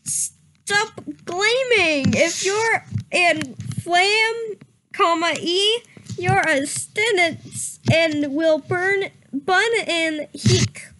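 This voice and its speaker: A woman's voice: very high-pitched, very slow, sharp tone, slight background noise, rough recording. A woman doing a comedic dramatic reading of a story, giggly and prone to stumbling over the words. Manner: giggly, often breaking into helpless laughter; stumbles over misspelled words read literally. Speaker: reader of a fan fiction story.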